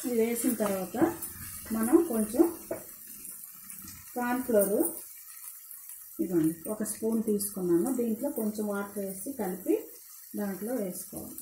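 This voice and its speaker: An older woman giving homely, unhurried step-by-step instructions at her kitchen stove. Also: medium pitch, warm tone, slight background noise. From India. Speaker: home cook. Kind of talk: cooking demonstration voice-over. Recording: echoey kitchen with sizzling pan. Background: frying and sizzling.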